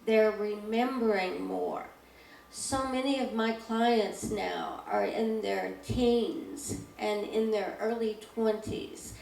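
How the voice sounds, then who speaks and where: A woman speaks slowly on a discussion panel, sharing anecdotes in a reflective way with a gravelly voice. The mic is distant, and the room is echoey with faint noise. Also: mid-range voice, darkish tone.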